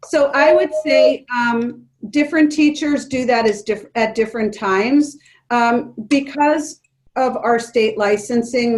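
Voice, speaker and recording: A woman leading an online workshop for educators, speaking calmly and gently with frequent ums. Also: a little high. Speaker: presenter leading an educators' forum. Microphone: video call.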